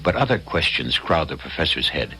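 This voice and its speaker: Deep-voiced dramatic radio narrator, male. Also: sing-song, sharp tone, slight background noise, poor audio. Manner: deliberate, suspenseful. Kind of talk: dramatic scripted old-time radio narration. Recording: old radio recording with music.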